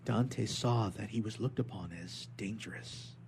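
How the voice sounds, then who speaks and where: A man reading a classic novel aloud as dramatic, expressive narration. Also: on the low side, unhurried, background noise. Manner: expressive, theatrical. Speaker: reader of a novel chapter.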